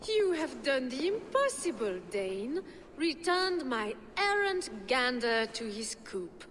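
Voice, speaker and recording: A woman's voice: sits high, big pitch swings, takes it slowly, fairly bright tone, slight background noise, full character voice. An actress playing a sardonic, estranged noblewoman in a video game, speaking with dry, biting eloquence. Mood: scornful. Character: sardonic, estranged noblewoman, a weary lord's wife. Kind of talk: dramatic video game acting. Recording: long hall reverb.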